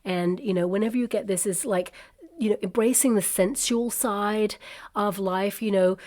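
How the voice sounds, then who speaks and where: A woman talks through a tarot reading in a loose, chatty way, reassuring her listeners and filling the gaps with ums and asides. Her voice is a little croaky from a cold that is coming on. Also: medium pitch, moderate pace, a little mellow. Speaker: tarot reader. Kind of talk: casual tarot reading to camera. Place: indoors at a table covered with cards and crystals.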